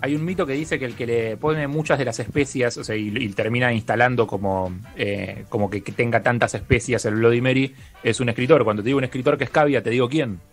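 A man's voice: mid-range voice, fast talker, soft background music. An Argentine man co-hosting a talk show, telling anecdotes in a joking way. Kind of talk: casual banter on a talk show.

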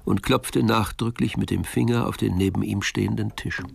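A man's voice: lowish voice, steady tone, normal speed, creaky, no echo. A man narrating a German audio drama in a scripted storytelling style.

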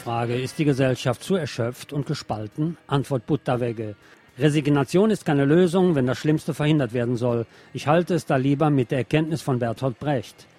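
A man's voice: medium pitch, normal speed, over music. A man reading scripted political news and commentary as a radio presenter.

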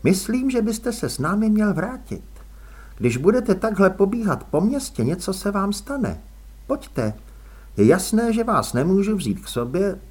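A man voicing a sharp-eyed, self-assured young woman in a storybook, reasoning briskly in a raised voice. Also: high voice, big pitch swings, breathy edge, voice pushed up, exaggerated voice. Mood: coaxing.

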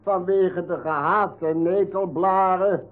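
Pompous mayor, radio play, slow speechmaking, ceremonious, tinny narrow-band recording. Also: male voice, sits high, fairly even intonation, faint music behind. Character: pompous village mayor giving a festive speech.

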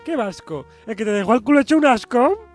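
A man's voice: very high-pitched, animated, brisk, faint music behind. A man with an accent from Spain playing Catalonia personified as a nagging, snobbish wife in a comic radio soap, scolding and theatrically indignant. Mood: jealous, sarcastic. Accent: Spanish, from Spain.

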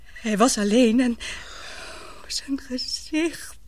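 An actress playing an anxious but brave, tender young woman in a radio drama, with breathy, emotional, hesitant delivery. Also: fairly high pitch, drawn-out words, darkish tone, no room sound. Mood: frightened.

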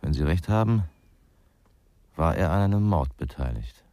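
Deep-voiced, blunt ex-cop. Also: male voice, expressive, moderate pace, mellow. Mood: dry, probing. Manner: terse, needling questioning. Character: gruff, blunt male ex-policeman investigator, provoking and dismissive. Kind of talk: German radio crime drama acting.

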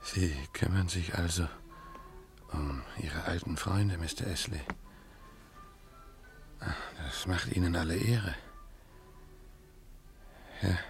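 An actor playing a ruined, brooding Russian gambler in a German radio drama, resigned and bitterly wry, musing in a halting, theatrical way. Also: male voice, low-pitched, steady tone, soft background music. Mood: wry, puzzled.

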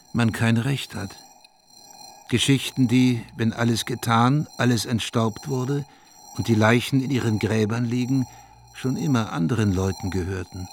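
An actor playing a brooding, reflective narrator from a dying town, in a measured, literary radio play monologue that drops to a hush in places. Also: male voice, medium pitch, slight background noise, lightly acted.

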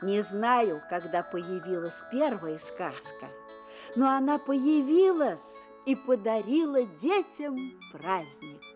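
An actress playing a kindly keeper of fairy tales, a storyteller guiding children in an inviting, theatrical, unhurried way. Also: female voice, mid-range voice, very animated, darkish tone, faint music behind. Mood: welcoming. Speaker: storyteller and guide.

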